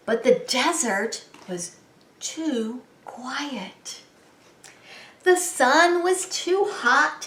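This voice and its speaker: Female preschool story time host, animated and drawn-out storybook delivery, roomy echoing recording. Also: on the high side. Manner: dramatic, drawn-out emphasis for small children. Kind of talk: expressive children's storybook reading.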